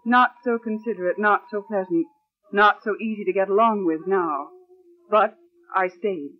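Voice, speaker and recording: A woman's voice: medium pitch, normal speed, lightly acted. An actress playing a principled, wary female assistant in a 1940s radio drama, speaking formally and earnestly. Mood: aggrieved. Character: principled, wary young woman, a loyal assistant and narrator. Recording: muffled, band-limited old radio recording.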